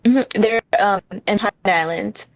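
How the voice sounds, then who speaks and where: A woman in her thirties gives interview answers in a frank, easygoing way, often pausing on "um". Her voice has a gravelly fry to it. The room sounds dry and close. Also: mid-range voice, no room sound, low-quality recording. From New Jersey. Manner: frank, relaxed, many ums. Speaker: real estate broker, guest.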